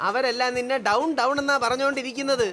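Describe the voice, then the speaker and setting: A man's voice: very high-pitched, flat delivery, quick pace. A man voicing a bossy, encouraging guru who commands and scolds his disciple in a cartoon. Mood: scolding. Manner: commanding, exclamatory. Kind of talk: cartoon dubbing. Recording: music behind the voice.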